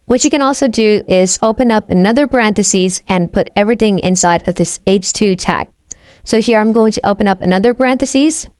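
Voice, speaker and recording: A woman walks through code line by line in a screen-recorded lesson, checking in with "okay?" as she goes. Her voice sits in an ordinary middle pitch. The sound is dry and close, with no room echo. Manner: steady step-by-step narration with frequent "okay?" check-ins. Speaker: coding tutorial instructor. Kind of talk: screen-recorded coding walkthrough.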